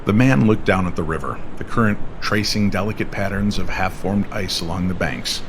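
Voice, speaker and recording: Male storyteller for nostalgic paranormal radio-style narration, deeper register, with noticeable background noise. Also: moderate pace.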